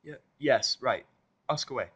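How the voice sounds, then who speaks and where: A studious young mare unicorn played by a man speaks in a raised pitch. She is earnest and easily flustered, choosing formal, pedantic words in a fan-made cartoon audio story. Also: expressive, a little slow, fairly bright tone, slightly rough, lo-fi sound.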